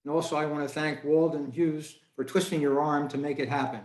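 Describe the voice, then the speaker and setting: A man's voice: fairly high pitch, fairly even intonation, brisk, a little mellow. An American man giving a prepared, formal introduction of a guest at a club meeting. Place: indoors on a video call. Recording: echoey room on video call.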